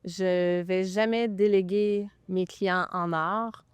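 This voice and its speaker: A woman from Quebec with a Québécois French accent, a real estate broker chatting enthusiastically as a podcast guest, often pausing on fillers. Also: mid-range voice, fairly even intonation, smooth, no echo. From Quebec (Magog). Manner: enthusiastic, talks with lots of hesitation fillers. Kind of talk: casual interview chat.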